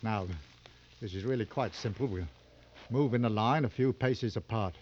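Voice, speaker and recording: An actor playing a seasoned, coolly sardonic hunting guide in a mid-century radio drama, giving clipped, authoritative instructions. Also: male voice, on the low side, normal speed, darkish tone, natural voice. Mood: calm, instructing. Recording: dull old radio recording, noisy.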